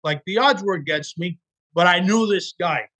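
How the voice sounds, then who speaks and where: A middle-aged man from Miami, a guest actor telling animated stories about auditions in a casual interview. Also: sits high, moderate pace. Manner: animated storyteller, acts out quotes. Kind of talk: casual podcast interview storytelling.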